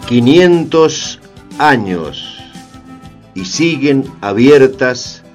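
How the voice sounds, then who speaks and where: A man's voice: medium pitch, lively intonation, very slow, over music. A man making formal, declamatory scripted announcements for a radio drama.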